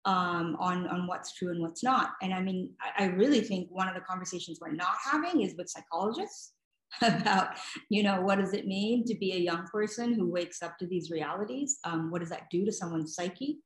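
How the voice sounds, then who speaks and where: Lowish-voiced woman in a roomy space. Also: moderate pace. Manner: hesitant phrasing, repeats words while thinking. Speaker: guest speaker, nonprofit director. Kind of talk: thoughtful interview answers. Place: home room, indoors. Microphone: video call computer mic. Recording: roomy echo on video call.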